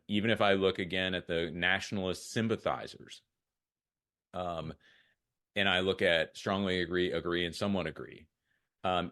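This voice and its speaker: A man's voice: low-pitched, bright, forceful tone. An American man hosting a podcast in a conversational style. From United States. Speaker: podcast host.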